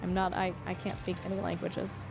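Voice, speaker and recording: A woman's voice: lowish voice, completely flat delivery, brisk, gravelly, over music. A woman livestreaming a game, chatting casually with viewers in a rambling way, restarting her sentences and laughing easily. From Canada. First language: English. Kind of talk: casual chat with viewers while playing.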